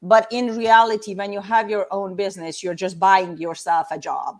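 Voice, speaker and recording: A woman's voice: mid-range voice, steady tone, normal speed. A woman in her fifties from Hungary with a Hungarian accent, a guest explaining things step by step in a casual chat.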